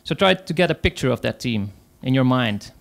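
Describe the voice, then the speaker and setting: Dutch-accented male conference speaker, rough-edged voice, halting delivery. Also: medium pitch, expressive. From Netherlands. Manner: hesitant, many fillers, pauses. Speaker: conference speaker, agile coach. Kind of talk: conference talk.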